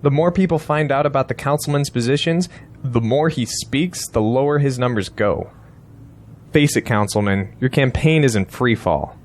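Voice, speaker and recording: A man acting as a male political campaign strategist in an audio drama, with a mid-pitched voice. He is blunt and insistent, lecturing his client as he presses his case. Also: moderate pace, close to an everyday voice.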